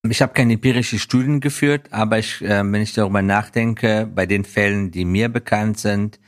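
This is a man from Afghanistan with a low voice, answering as a guest in an interview. He talks in an earnest, reflective way. His sentences halt and restart as he searches for the next phrase. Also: fairly even intonation, moderate pace. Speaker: interview guest, business professional.

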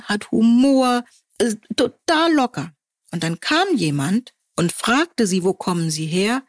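A woman from Germany co-hosting a podcast, telling stories wryly and quoting people in lively voices. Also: mid-range voice, dry recording, studio quality. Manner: wry storytelling, quoting others in lively voices. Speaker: podcast co-host. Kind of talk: casual conversation.

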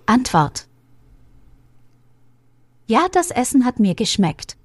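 A woman presenting a language lesson, asking practice questions and giving model answers in a measured, instructive way. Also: a little high, sing-song, no echo. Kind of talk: clear scripted lesson delivery.

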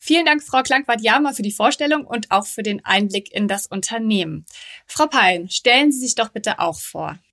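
Higher-pitched woman hosting a podcast. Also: animated, moderate pace, a bit bright. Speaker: podcast host.

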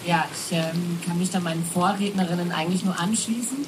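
A woman's voice: lowish voice, fairly quick, background noise. A woman from a festival booking team, talking chattily on a live panel with many fillers and tag questions.

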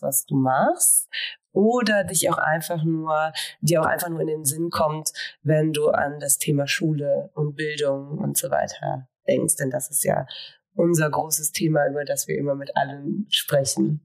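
Podcast co-host, woman, low voice, relaxed and chatty. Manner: chatty, many fillers. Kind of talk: casual podcast hosting.

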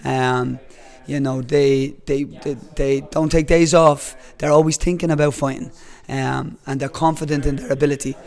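A man who coaches fighters, calmly answering questions at an event with frequent hesitations. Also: medium pitch, normal speed, vocal fry, hardly any pauses. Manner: calm, hesitant, many fillers. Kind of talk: post-fight interview.

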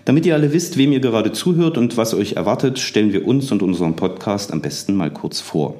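A man's voice: a little fast, reverberant. A man in his forties hosting a museum history podcast, chatty with frequent fillers and restarts. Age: 40s. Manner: chatty, many fillers and restarts, lively rises in pitch. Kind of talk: casual podcast chat.

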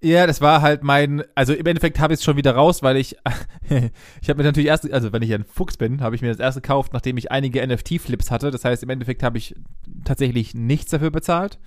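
Man, thirties, casual rambling chat, fillers and laughs, mid pitch, dry close sound. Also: steady tone, a little fast, dry recording. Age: 30s. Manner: rambling, laughs often, many fillers and restarts.

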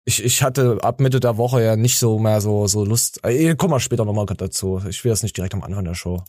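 A man's voice: fairly low pitch, fairly quick, a little mellow, no echo, clean studio sound. A man hosting a podcast, joking and teasing in casual chat, with many fillers and restarts. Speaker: podcast host.

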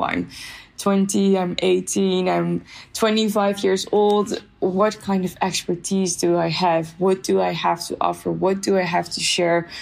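Young woman guest on a podcast, gravelly voice, thoughtful and measured, lots of ums. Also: medium pitch. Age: young adult. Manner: thoughtful, measured, uses many fillers. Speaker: youth activism guest expert. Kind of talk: podcast interview.

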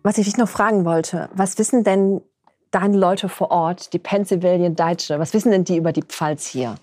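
A woman's voice: on the low side, normal speed, warm tone, faint music behind. Chatty woman hosting a podcast. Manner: chatty, laughs easily. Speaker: podcast host. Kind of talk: conversational interview.

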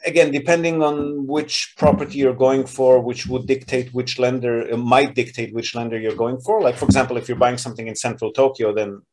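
A man's voice: mid-range voice, flat delivery, a little mellow, slight background noise. A man hosting and advising on property investment, talking through loans in an explanatory way with frequent ums and restarts. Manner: explanatory, fills pauses with um and uh, restarts phrases. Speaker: real estate advisor and podcast host. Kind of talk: business call recording, casual advisory.

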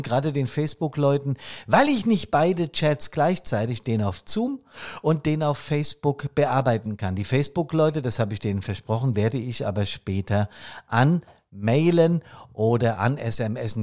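A man, hosting a podcast in a casual, friendly way with frequent fillers. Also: mid-range voice. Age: 60s. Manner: chatty, warm-hearted, with frequent fillers. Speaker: podcast host and fire service trainer. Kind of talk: casual podcast talk and live session.

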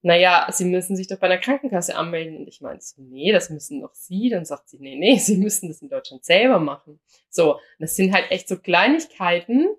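A woman's voice: fairly low pitch, animated, brisk. A young woman from Austria, co-hosting a casual podcast and telling stories with quoted dialogue. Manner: chatty, anecdotal, acts out quoted dialogue. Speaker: podcast co-host.

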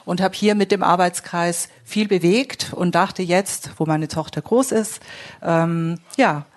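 A woman's voice: fairly low pitch, measured pace. A woman in her fifties from Swabia, a council candidate introducing herself in an articulate, detailed way. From Swabia, Germany. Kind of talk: panel self-introduction.

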